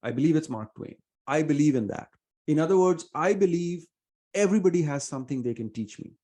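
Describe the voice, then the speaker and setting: A middle-aged man from India with an Indian accent, giving earnest, measured interview answers with many fillers. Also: a little high, animated, mellow. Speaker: venture investor guest.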